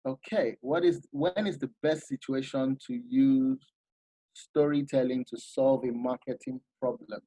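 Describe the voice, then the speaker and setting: A man giving an informal masterclass talk, animated and explanatory, often restarting his sentences. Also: mid-range voice, unhurried, slightly rough, no room sound, rough recording. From Nigeria. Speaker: masterclass speaker.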